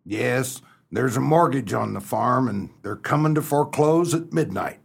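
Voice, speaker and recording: An actor playing a sad, sentimental old farmer in a comic radio melodrama, with a creaky, husky voice. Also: male voice, mid-range voice, lively intonation, normal speed, exaggerated voice. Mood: resigned.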